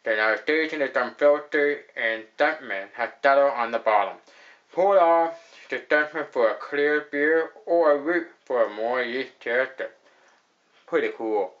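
A young man talks casually through a beer review, rambling and padding his words with fillers. His voice sits at an ordinary middle pitch for a man. The audio is a bit dull and lo-fi, picked up by a close camera mic in a small indoor room. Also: normal speed, fairly bright tone. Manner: rambling, repeats "actually", lots of fillers. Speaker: host of a beer review show.

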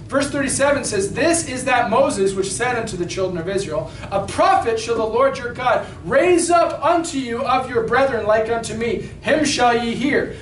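A man in his thirties delivers an emphatic sermon, his unusually high voice rising on key phrases and sinking again. He is picked up from across a small, echoey church hall, with a faint hum underneath. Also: recorded from a distance. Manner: emphatic preaching, rising and falling, stretching key words; gestures widely while pacing at a pulpit. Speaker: preacher. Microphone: far camera, room mic.

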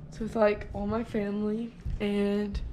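Teen girl vlogger, slow rambly chat, higher voice, noisy background, camera at arm's length. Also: monotone, mellow. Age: teens. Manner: chatty, rambling, with fillers and trailing sentences. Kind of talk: casual vlog chat. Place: inside a car, then a house. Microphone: camera held at arm's length.

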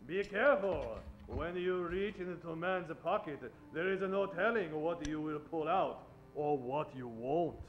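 A man playing a wily Chinese general in old-time radio style, with a put-on Chinese accent and measured, formal broken English. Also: fairly high pitch, soft background music, natural voice. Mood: polite. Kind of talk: 1940s radio acting. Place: live stage before standing radio microphone. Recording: dull, lo-fi stage recording.

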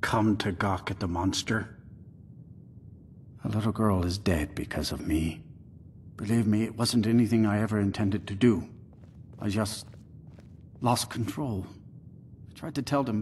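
A man voicing a remorseful, cursed werewolf in a video game, speaking in a halting, brooding, confessional way. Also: on the low side, slight background noise, close to an everyday voice.

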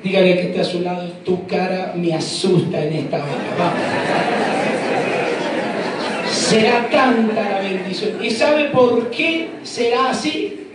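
A middle-aged man with an Argentine accent, preaching a fervent sermon with shouts and quips to a packed church. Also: sits high, moderate pace, far from the mic. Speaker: pastor. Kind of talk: energetic sermon. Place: large packed church hall. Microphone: handheld mic. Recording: echoey hall, crowd noise. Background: congregation noise.

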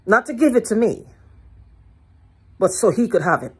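An older woman ranting to her phone camera in a living room, heated, profane and repetitive, with a hoarse throat. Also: medium pitch, animated, moderate pace. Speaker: vlogger. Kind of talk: agitated rambling monologue to camera. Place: living room at home, indoors. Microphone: phone held at arm's length.

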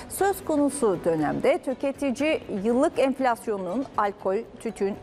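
A woman's voice: a little high, a little mellow, a little hiss or hum. Young woman anchor reading business news. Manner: crisp, measured newsreader delivery. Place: TV news studio.

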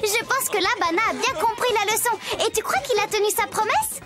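A woman voicing a lively, cheeky little girl telling a story in a French children's cartoon, playful and expressive. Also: very high-pitched, a little fast. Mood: playful, knowing. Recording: loud music behind the voice.

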